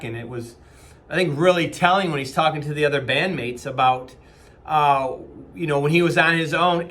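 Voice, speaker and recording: A man's voice: on the high side, fairly bright tone. A man in his forties, a company leader, giving an earnest, casual motivational talk from a small office with many fillers and restarts. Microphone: webcam at desk distance.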